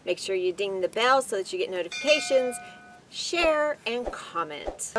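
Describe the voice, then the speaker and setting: A woman's voice: mid-range voice, lively intonation, normal speed, a bit bright, soft background music, low-quality recording. A middle-aged woman chatting casually as a vlog host, speaking outdoors. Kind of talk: casual chat. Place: outdoors in open desert beside an RV. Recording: outdoor ambient noise.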